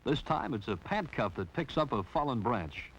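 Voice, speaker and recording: A man's voice: mid-range voice, sing-song, normal speed, fairly bright tone, breathy edge. A man narrating a 1960s training film in a wry, teasing scripted style. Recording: dull lo-fi 1960s film soundtrack.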